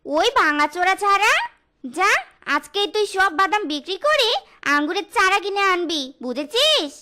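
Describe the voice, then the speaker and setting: A performer voicing a sharp-tongued, envious fox wife in a cartoon, nagging dramatically, over loud music. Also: very high voice, lively intonation, moderate pace, exaggerated voice. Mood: bossy, resentful. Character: shrill, scolding, envious young fox wife. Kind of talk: cartoon voice acting. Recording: loud background music throughout.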